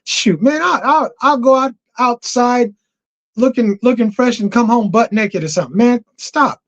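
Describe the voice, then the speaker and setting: A man hosting a casual livestream and taking callers, animated, often repeating himself for emphasis. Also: very high-pitched, moderate pace. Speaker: livestream host. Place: home. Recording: dull lo-fi stream audio.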